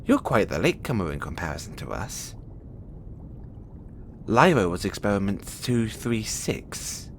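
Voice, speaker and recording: A man's voice: on the low side, sing-song, measured pace, long pauses, slight background noise. A man playing a huge, imprisoned experiment in an audio roleplay, cocky and bitter, teasing and volatile. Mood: teasing, probing. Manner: teasing, swaggering, swinging from soft murmurs to loud outbursts. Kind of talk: intimate audio roleplay monologue addressed to the listener.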